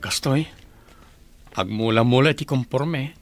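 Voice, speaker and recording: A man's voice: mid-range voice, slow. A man playing a humble, patient young jobless villager in a radio drama. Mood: earnest. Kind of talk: Ilocano radio drama acting.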